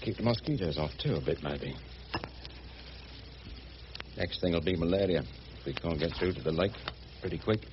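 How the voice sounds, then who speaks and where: A dull radio drama recording with clear noise behind it carries a rough, good-hearted boatman. He has a very low voice and a working-class English accent. His talk is colloquial and excitable, that of a plain working man. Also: normal speed, pauses often. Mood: wry, worried. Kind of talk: BBC radio drama acting.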